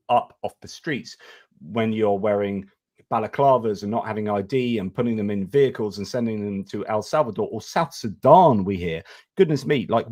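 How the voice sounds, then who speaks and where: A man's voice: mid-range voice, a little mellow, no echo, studio quality. A man hosting a live online stream, reading out viewer comments, rambling and joking at his own expense, a little tipsy from wine. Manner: joking, rambling, self-mocking. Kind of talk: casual live chat.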